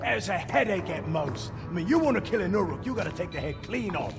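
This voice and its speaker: A man playing a chatty, scatterbrained orc braggart in a video game cutscene, gravelly and theatrical, rambling with comic asides. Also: high-pitched, big pitch swings, brisk, in a large hall, music in the background, exaggerated voice. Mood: rambling, wary.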